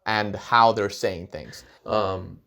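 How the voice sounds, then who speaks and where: A man's voice: on the low side, gravelly, a bit bright. Earnest young man giving advice. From Argentina. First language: Spanish. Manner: earnest, encouraging, sometimes slow and stressed. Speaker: vlogger. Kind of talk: casual advice talk to camera.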